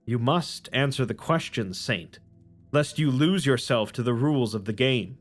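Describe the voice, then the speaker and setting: A man's voice: mid-range voice, animated, normal speed, soft background music, close to an everyday voice. A man voicing a cunning, name-stealing fae creature who speaks in formal, taunting phrases. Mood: cold, taunting.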